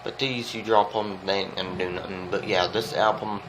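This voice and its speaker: A man's voice: low voice, normal speed. A man with a congested, stuffy voice from a cold, talking through an album review in an earnest, rambling, repetitive way. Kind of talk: casual music review vlog. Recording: steady background noise.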